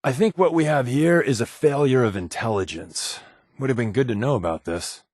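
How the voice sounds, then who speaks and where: An actor playing a sardonic, joking spy companion in a wasteland video game, with a dry, deadpan delivery. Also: male voice, medium pitch, normal speed, no echo, close to an everyday voice. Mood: wry.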